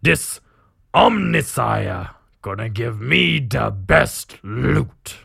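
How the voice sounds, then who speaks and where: A man voicing a brutish, excitable orc warband captain in an acted fantasy story, bellowing in crude broken grammar. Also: medium pitch, extremely slow, vocal fry, slightly rough, no echo, clean studio sound, heavily acted. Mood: confident, gloating.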